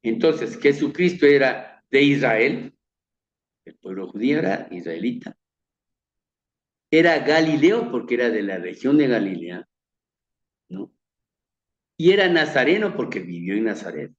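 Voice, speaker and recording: A man from Ecuador with a Quito accent gives a measured, earnest Bible lecture over pictures, often repeating words and letting his phrases fade softly. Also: medium pitch, big pitch swings, reverberant, lo-fi sound. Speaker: Bible teacher. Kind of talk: slow sermon-like slideshow lecture.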